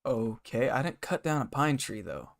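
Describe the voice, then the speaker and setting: A hoarse man live-streaming a game from his bedroom desk, rambling and muttering to himself and his chat. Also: medium pitch, big pitch swings, moderate pace. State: hoarse, scratchy throat.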